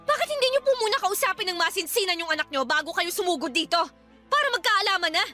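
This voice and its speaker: A woman's voice: very high voice, animated, fast talker, pushed and bright, faint music behind, full character voice. A woman playing an aggrieved, defiant young wife, protesting and exasperated, in a Filipino radio drama with a Filipino accent. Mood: defensive, exasperated.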